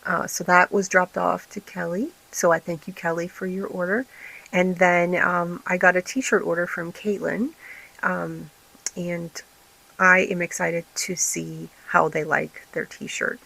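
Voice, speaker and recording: A woman hosting a casual vlog, her voice raspy and tired, rambling with many fillers and restarts. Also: fairly low pitch, flat delivery, unhurried, bright, forceful tone, low-quality recording. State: tired, recovering from illness. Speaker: vlog host and small craft business owner. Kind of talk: casual chatty vlog update.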